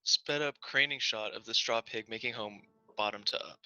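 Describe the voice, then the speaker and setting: A man's voice: fairly low pitch, normal speed, pushed and bright, clear, resonant tone, soft background music. A man reading camera shot directions at a script read, with muffled video call audio.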